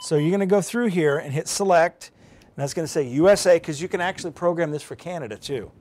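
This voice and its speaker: A man's voice: fairly high pitch, quick pace, a little mellow. A middle-aged man presenting weather on TV, giving an informal live how-to and thinking aloud as he goes. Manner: informal, thinking aloud while handling a device. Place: TV studio. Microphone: lapel mic.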